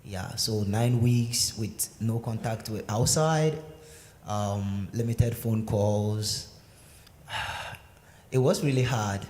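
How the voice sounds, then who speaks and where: A man's voice: fairly low pitch, extremely slow, pauses often, darkish tone. A young man telling his own story as a guest speaker, hesitant, with many fillers, recorded in a synagogue sanctuary. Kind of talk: personal testimony answering questions. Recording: echoey sanctuary with faint noise.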